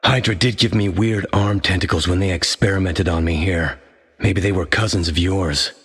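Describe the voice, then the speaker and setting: A man's voice: low-pitched, steady tone, normal speed, a bit bright, in a large hall. A man voicing a wry, battle-worn soldier hero with a metal arm in a video game, delivering dry quips.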